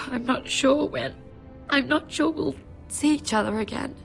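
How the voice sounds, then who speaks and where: An actress playing a gentle, earnest young noblewoman who speaks softly and sometimes drops to a whisper, in a story game. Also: sits high, vocal fry, music in the background. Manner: soft, slow and earnest, dropping to whispers.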